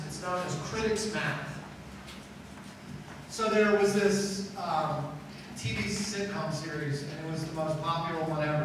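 A man's voice: a little high, background noise. A middle-aged man preaching a chapel sermon to students on a school auditorium stage, talking conversationally and repeating phrases for emphasis. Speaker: pastor. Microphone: far camera in hall. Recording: echoey hall from far off.

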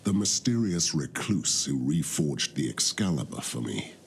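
An actor playing a grave, lordly medieval knight in a fantasy game, speaking in a measured, solemn way. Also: male voice, extremely low pitch, sing-song, gravelly, slight background noise, full character voice. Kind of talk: scripted game voice acting.

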